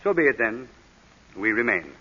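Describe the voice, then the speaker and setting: A man acting a courteous, nonchalant gentleman crook in an old radio drama, urbane in manner. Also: fairly low pitch, big pitch swings, normal speed, slight background noise. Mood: cool, courteous. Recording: muffled, reverberant vintage radio broadcast.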